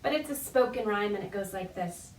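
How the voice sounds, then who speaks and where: A woman's voice: medium pitch, a little fast, a little hiss or hum. A woman leading a children's storytime in a playful, encouraging, sing-song way, with simple phrasing for babies and their parents. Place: indoor room, recorded virtual session. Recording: echoey room, distant microphone.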